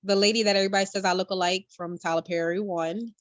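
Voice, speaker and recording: A woman's voice: mid-range voice, fairly even intonation, fast talker, no room sound, dull audio. A woman chatting casually as an interview guest, thinking aloud in short phrases. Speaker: interview guest, stage performer. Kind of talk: casual chat.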